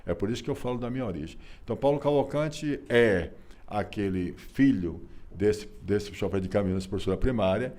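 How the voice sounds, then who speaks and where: Guy in his 60s, low mellow voice, folksy storyteller, keeps tacking on little tags. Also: animated, fairly quick. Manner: folksy, repeats phrases, frequent rhetorical tags. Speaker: interview guest, author and businessman. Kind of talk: relaxed interview storytelling.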